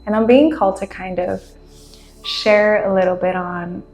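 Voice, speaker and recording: A woman whose first language is Spanish, hosting her podcast in a relaxed, rambling monologue from her room, laughing often. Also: medium pitch, normal speed, roomy sound, faint music behind. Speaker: podcast host. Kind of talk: casual monologue.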